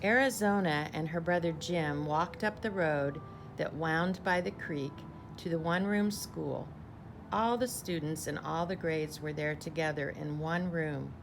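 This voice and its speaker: Female storybook reader, low register with gravelly creak, deliberate phrasing, clear music bed underneath. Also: noisy background. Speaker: teacher reading a picture book aloud. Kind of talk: storybook read-aloud.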